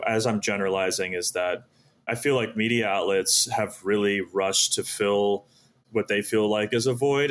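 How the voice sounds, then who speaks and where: A man's voice: lowish voice, fairly bright tone. A man speaking as an interview guest, explaining his ideas earnestly and often posing questions that he answers himself. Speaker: podcast guest, startup founder.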